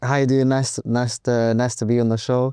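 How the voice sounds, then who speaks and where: A man's voice: medium pitch, flat delivery, fast, dark tone, smooth, no echo, studio quality. A young man with a British accent, answering interview questions as a guest entrepreneur, hesitant with many fillers and restarts. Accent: British English. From United Kingdom. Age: young adult.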